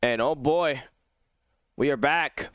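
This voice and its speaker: A man giving live play-by-play commentary on an esports match, his voice tired and hoarse, excitable and rising to shouts at big plays. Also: medium pitch, slow pace, no room sound, clean studio sound. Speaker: esports caster. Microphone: phone on a low battery.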